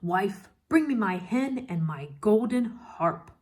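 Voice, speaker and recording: A woman's voice: medium pitch, animated, slow, pitched above the performer's own voice. A woman voicing a huge, bossy male storybook giant who barks out orders. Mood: bossy, commanding. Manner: barking loud orders.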